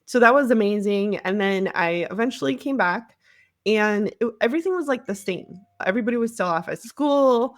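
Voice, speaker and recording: A woman's voice: medium pitch, animated, normal speed, dry recording, clean studio sound. An American woman telling her own story as an interview guest, candid and conversational, often acting out what people said to her. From Cleveland area, United States. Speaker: podcast guest, marketing agency CEO.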